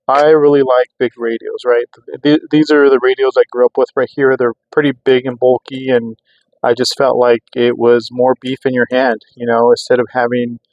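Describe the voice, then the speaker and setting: Middle-aged male reviewer, mid-pitched and even-toned, rambling casual explainer, dry close sound. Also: normal speed, no room sound, dull audio. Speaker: host of a radio hobby review channel. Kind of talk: casual unscripted product talk.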